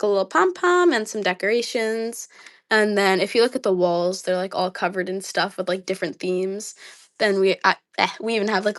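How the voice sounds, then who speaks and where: A young woman with a slightly dry voice, chatting casually on a livestream, reading comments aloud with lots of ums and likes. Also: medium pitch. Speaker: livestream host.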